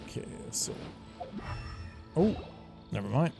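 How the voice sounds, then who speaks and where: A woman's voice: sits low, big pitch swings, takes it slowly, gravelly, loud music behind the voice. A woman giving casual, offhand and wry commentary as she plays through a video game. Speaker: gameplay streamer.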